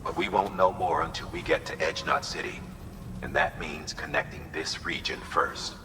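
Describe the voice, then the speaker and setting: An actor voicing a grave, commanding operations officer in a video game, giving measured, serious instructions. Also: male voice, rumbling low, fairly even intonation, noisy background. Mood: businesslike briefing. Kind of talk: dramatic video game mission briefing.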